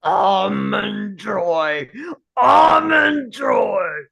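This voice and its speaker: A huge childlike monster in a film-script read. He is hungry for sweets and growls out slow, drawn-out words with a heavy creak. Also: high-pitched, lively intonation, fairly bright tone, exaggerated voice. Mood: excited craving. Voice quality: growly, like a talking grizzly bear.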